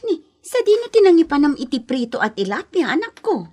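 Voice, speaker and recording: A woman's voice: high voice, big pitch swings, a little fast, darkish tone. An actress playing a put-upon wife and mother in a radio comedy drama, plaintive and grumbling about her household. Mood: domestic, plain.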